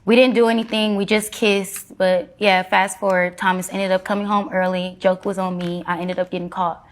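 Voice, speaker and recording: A woman's voice: medium pitch, little pitch movement, moderate pace. Woman explaining herself in court testimony. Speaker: plaintiff in a televised court case; hairstylist. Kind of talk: recounting her story in court testimony.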